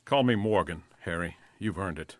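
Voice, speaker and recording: An actor playing a grieving, weary widowed publisher in a radio drama, speaking in a subdued way. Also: male voice, deep voice, normal speed, close to an everyday voice. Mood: stunned, grieving. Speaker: bereaved client, magazine publisher.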